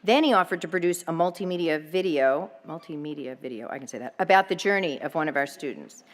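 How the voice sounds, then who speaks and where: A woman who leads a nonprofit gives a prepared speech at an event, speaking in a measured, formal, grateful way. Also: low-pitched, expressive. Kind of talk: prepared speech to a live audience. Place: event hall. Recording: long reverb of a large event hall.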